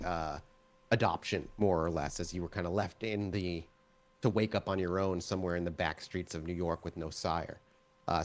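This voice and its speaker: A man's voice: on the low side, darkish tone. A man working as game master, improvising descriptive, explanatory narration for a tabletop game.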